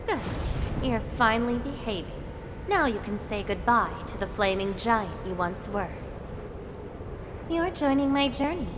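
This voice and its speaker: A woman's voice: a little high, background noise. A woman voicing a fierce, confident young swordswoman in a game, bold and commanding. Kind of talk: game voice acting. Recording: long reverb with clear background music.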